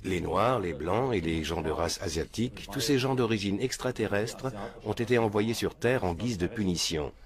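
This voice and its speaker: A man's voice: fairly low pitch, expressive, moderate pace, hardly any pauses, crowd noise. A man answering interview questions in a matter-of-fact, assured way.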